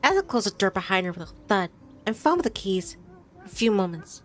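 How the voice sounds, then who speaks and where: A woman streamer reading a visual novel aloud in expressive storytelling narration. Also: medium pitch, a little fast.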